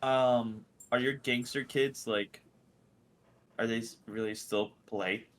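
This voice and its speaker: A man's voice: mid-range voice, fairly even intonation, takes it slowly. A man joining casual podcast banter as one of the participants.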